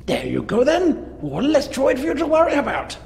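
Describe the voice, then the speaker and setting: A man's voice: very high voice, wildly swooping pitch, a little fast, creaky, a little mellow, heavily acted. A man playing a hesitant, anxious older father in a tabletop sci-fi game, stammering and pleading as he talks. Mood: fond. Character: hesitant, anxious older father fond of his droid friends. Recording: reverberant hall, faint background noise.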